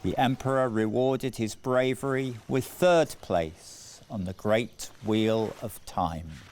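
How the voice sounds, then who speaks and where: A man narrating a scripted children's story in a measured, deliberate way with dramatic phrasing. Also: fairly low pitch, darkish tone, a little hiss or hum. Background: music.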